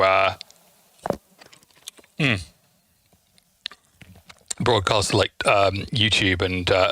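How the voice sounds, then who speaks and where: This is a man with a forward, bright voice, chatting as a livestream host. He swears freely and gushes at his audience, with theatrical exasperation in the way he talks. The sound is close and very dry. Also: lowish voice, no echo. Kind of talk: casual chatty livestream talk to chat.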